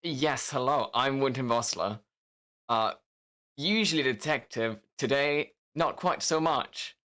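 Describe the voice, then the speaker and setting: A man's voice: medium pitch, a little slow, sharp tone. A man introducing himself as a player, sounding amused.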